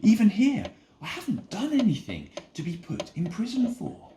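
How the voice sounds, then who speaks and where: Man playing young male prisoner, earnest, pleading storybook delivery, very animated, roomy sound. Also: high-pitched, normal speed, a little hiss or hum, voice pushed up.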